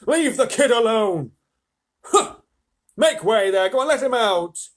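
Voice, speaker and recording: Reader doing a big kindly shop guy from a kids story, bossy but caring, husky. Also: medium pitch, fairly even intonation, racing. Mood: commanding. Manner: firm, urgent, avuncular. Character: kindly, protective fat male shopkeeper.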